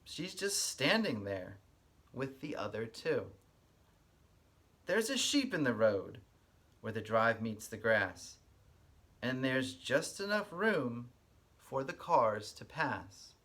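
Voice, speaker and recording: A man working as a kindergarten teacher, giving an expressive read-aloud and explaining things simply to young listeners. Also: mid-range voice, takes it slowly, pauses often.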